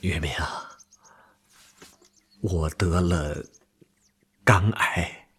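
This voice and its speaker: Husky, slow, weary sick man. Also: low-pitched, fairly bright tone, exaggerated voice. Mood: grave, resigned. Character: gravely ill older army friend, weary, resigned, earnest. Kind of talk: broadcast radio drama acting.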